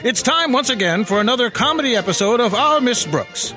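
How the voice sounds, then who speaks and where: A man's voice: very high voice, animated, fairly quick. A man playing an upbeat announcer of a 1950s radio comedy. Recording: music behind the voice.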